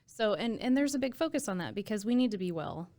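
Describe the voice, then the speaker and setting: A woman's voice: medium pitch, expressive, quick pace, a little mellow. An American woman talking casually and earnestly in an interview, with admiration, as an emergency dispatch supervisor. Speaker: emergency dispatch supervisor and trainer, podcast guest.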